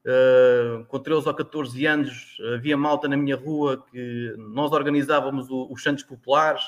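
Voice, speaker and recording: A man's voice: mid-range voice, steady tone, normal speed. A man in his forties with a European Portuguese accent, a guest telling his story in a casual chat, rambling with many restarts. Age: 40s.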